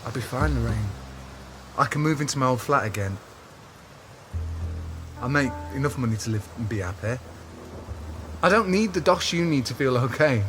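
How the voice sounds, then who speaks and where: A man's voice: mid-range voice, expressive, moderate pace, long pauses, fairly bright tone, close to an everyday voice. A man playing a hurt, sensitive but stubborn boyfriend in an audio drama, earnest and emotional. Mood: resigned, probing. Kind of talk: audio drama acting, intimate dialogue. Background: music.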